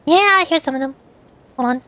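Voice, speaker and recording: A woman's voice: high voice, normal speed, sharp tone. A young woman streamer chatting casually with her viewers, rambling as she shows old screenshots. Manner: chatty, rambling, reads chat aloud.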